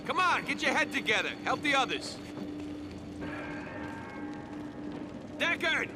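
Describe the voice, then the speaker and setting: An actor playing a gruff, commanding resistance squad boss in a video game, blunt and urgent. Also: male voice, very high-pitched, sing-song, brisk, very noisy. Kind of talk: video game cutscene acting.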